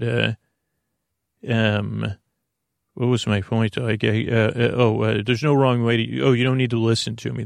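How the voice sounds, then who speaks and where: Male American podcast host for sleep monologue: creaky, dry studio sound, rambling, hesitant, drifting delivery. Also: mid-range voice, dry recording. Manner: meandering, halting, keeps trailing off. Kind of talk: rambling bedtime monologue to help listeners sleep.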